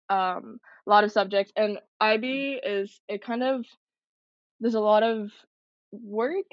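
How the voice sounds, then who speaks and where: A woman's voice: mid-range voice, dry recording, dull audio. A young woman from Canada, a military cadet, answering interview questions in an earnest, reflective way. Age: young adult.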